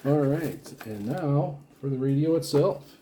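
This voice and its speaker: A man giving casual, unscripted product commentary as a video host, rambling haltingly and often repeating and restarting his phrases. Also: fairly high pitch, expressive, warm tone, slight background noise. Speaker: video host reviewing radio gear.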